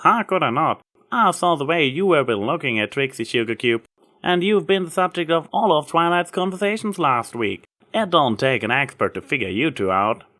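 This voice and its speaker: A man's voice: a little high, sing-song, normal speed, bright, forceful tone, voice pushed up. A man voicing an earnest, protective farm-girl pony with folksy, plain-spoken country phrasing, in a story reading. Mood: frank, friendly.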